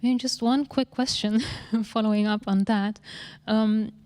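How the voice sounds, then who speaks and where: A woman moderating an art panel, asking the guests questions in a gentle way. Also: on the high side, steady tone, a little slow, no echo.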